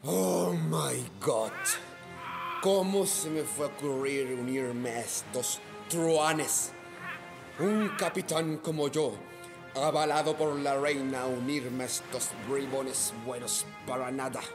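An actor playing a haughty, exasperated pirate captain in a radio play, pompous and scolding. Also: male voice, medium pitch, takes it slowly, vocal fry, music in the background. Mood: indignant, pompous. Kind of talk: Spanish-language radio play acting.

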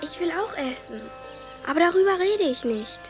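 A woman's voice: very high-pitched, animated, moderate pace. An actress playing a plucky, responsible young village girl in a radio drama, speaking earnestly and insistently to protect her sister. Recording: studio radio drama with music underneath.

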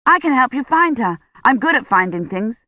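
An eager, stubborn young boy acted out by a narrator, pitched well above the narrating voice. The lines are pleading and insistent, in a storybook reading with dull, lo-fi sound. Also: big pitch swings, normal speed, dry recording, lightly acted. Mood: insistent, indignant.

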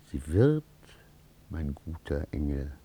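Husky, brooding, ardent young schemer. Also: male voice, a bass voice, very animated, slow pace, mellow, exaggerated voice. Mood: dreamy, brooding. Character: brooding, self-tormented ambitious actor, ardently pleading. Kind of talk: radio drama acting.

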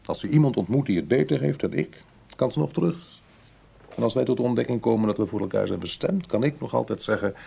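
A cagey civil servant in a radio play, gravelly husky voice, halting and defensive. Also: male voice, mid-range voice, animated, mellow, heavily acted. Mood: guarded, defensive. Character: evasive, modest male civil servant, defensive about his affair. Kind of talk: radio drama acting.

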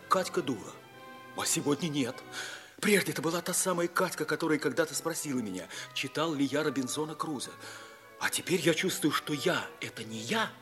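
An actor playing an ardent, impulsive schoolboy in a mid-century radio play, speaking earnestly with theatrical emphasis. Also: male voice, a little high, fairly bright tone. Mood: hushed, smitten. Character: ardent, impulsive schoolboy dreaming of polar flying. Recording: 1950s radio play recording, faint music.

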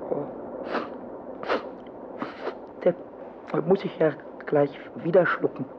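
A man's voice: fairly high pitch, steady tone, moderate pace, close to an everyday voice. A male actor playing a small, mischievous, childlike kobold in a German radio play, stammering eagerly and breaking into giggles. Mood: subdued, earnest. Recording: muffled old recording with some noise.

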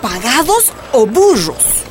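A woman's voice: a little high, very animated, slow pace. An actress playing a loyal woman comforting a wronged friend in a radio drama. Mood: indignant, consoling. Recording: music behind.

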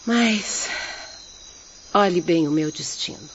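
A muffled radio drama recording with faint noise and night insects carries a gentle young peasant woman. She has a Brazilian accent and speaks in an earnest, measured, consoling manner, like a devout spirit offering comfort. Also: lowish voice. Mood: sorrowful. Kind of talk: Portuguese-language radio drama acting.

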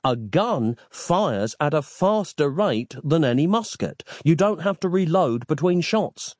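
A man's voice: on the high side, big pitch swings, normal speed, darkish tone, no echo, studio quality, voice pushed up. A man voicing an exasperated, sarcastic modern man who complains incredulously in an audio story. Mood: placating.

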